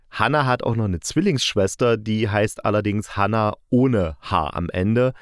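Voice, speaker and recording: A man with a fairly low voice talks as a reviewer in a casual, chatty way. He thinks aloud and speculates as he goes. The sound is dry, with little room around the voice. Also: dry recording. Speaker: reviewer of audio dramas.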